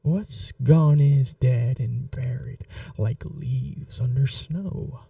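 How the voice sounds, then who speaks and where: A woman playing an aristocratic, possessive vampire mistress in a teasing, quietly menacing, breathy, intimate ASMR roleplay, often dropping to a whisper. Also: extremely low pitch, dry recording, distant mic, exaggerated voice. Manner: slow, languid, condescendingly affectionate. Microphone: close microphone, dry.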